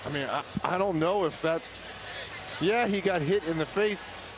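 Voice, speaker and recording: A man's voice: a little high, unhurried, over music. A man giving analysis in live basketball broadcast commentary, breaking down plays as a colour commentator. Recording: broadcast with clear background noise.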